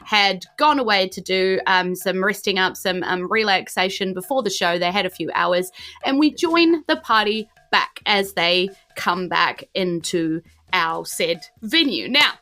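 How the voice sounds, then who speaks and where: A woman's voice: mid-range voice, measured pace, pushed and bright. A woman with a New Zealand accent running a tabletop game, narrating informally and putting questions to the players. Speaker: dungeon master of a tabletop game podcast. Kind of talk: improvised game narration, casual chat.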